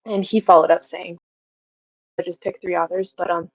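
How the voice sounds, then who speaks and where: A young adult woman chats as an interview guest in an effusive, anecdotal way. Her voice is on the low side for a woman, and the recording is dry and a little dull. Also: little pitch movement, moderate pace, husky, dry recording. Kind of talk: casual, anecdotal interview talk.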